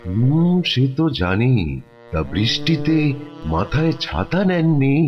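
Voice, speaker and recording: Slow, pondering royal physician. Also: male voice, mid-range voice, big pitch swings, dull audio, music in the background. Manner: halting, pondering, pompous. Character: solemn, deliberate royal physician. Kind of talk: Bengali kids' cartoon voice acting.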